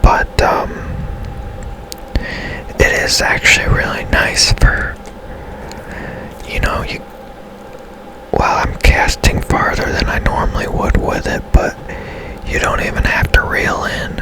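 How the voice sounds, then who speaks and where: A man whispering softly and casually as he gives rambling, unhurried commentary on a video game for ASMR. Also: rough recording. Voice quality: soft unvoiced whisper. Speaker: game streamer.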